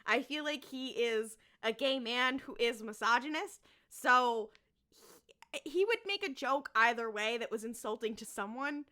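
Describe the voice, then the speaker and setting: Young woman on a podcast panel, really bright punchy voice, kinda high, joking around casually. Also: animated, unhurried. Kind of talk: casual comedic panel chat.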